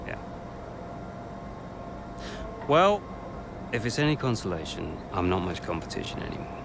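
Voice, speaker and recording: A man's voice: sits low, sing-song, normal speed, gravelly, noisy background, full character voice. An actor playing a cocky, evasive young male racing driver in a video game, glib and sarcastic, in a naturalistic dramatic style. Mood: conciliatory. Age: young adult.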